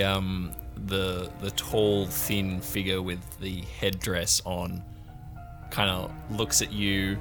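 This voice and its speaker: A man narrating an improvised tabletop role-playing game as game master, describing scenes in a halting, deliberate way. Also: sits low.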